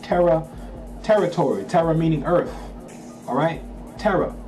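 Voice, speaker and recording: A fairly high-voiced man reads passages aloud, then stops to unpack them in a loose, slangy way. He keeps asking whether listeners follow him. Music plays clearly underneath his voice. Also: lively intonation, normal speed, mellow. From Haiti. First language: Haitian Creole. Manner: emphatic, checks in with listeners, slangy asides. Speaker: channel host. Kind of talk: casual commentary reading aloud from a document.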